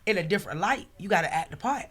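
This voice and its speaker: A woman with a deep voice hosts a casual podcast chat, pitched right in the middle. She talks earnestly and reflectively, owning up to her faults. She stretches some phrases out slowly. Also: expressive, fairly bright tone, slightly rough. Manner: earnest, reflective, self-critical storytelling with frequent laughs. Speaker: podcast host.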